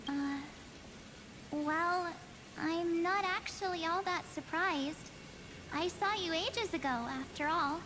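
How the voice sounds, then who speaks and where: Game girl adventurer: very high, perky, candid, slightly anxious, casual phrasing, noisy background. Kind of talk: video game story voice acting.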